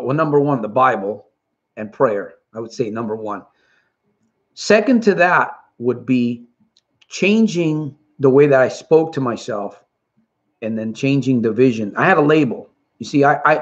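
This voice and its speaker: A man's voice: mid-range voice, dull audio. A middle-aged man from Puerto Rico, raised in Connecticut, hosting a motivational live stream and reading from the chat with earnest, repetitive emphasis. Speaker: livestream host and recovery coach.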